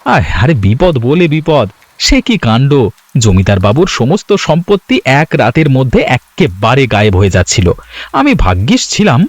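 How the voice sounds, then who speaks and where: A man voicing an excitable, gullible village husband in a cartoon, often flustered and stammering. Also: medium pitch, normal speed, no room sound. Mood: eager, chatty. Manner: stammering, flustered, repeating filler words. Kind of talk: Bengali cartoon story voice acting.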